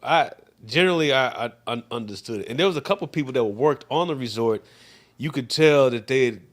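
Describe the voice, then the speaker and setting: A man's voice: medium pitch, expressive, brisk. A man co-hosting a casual livestream chat, telling a laid-back story with lots of fillers and restarts. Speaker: co-host.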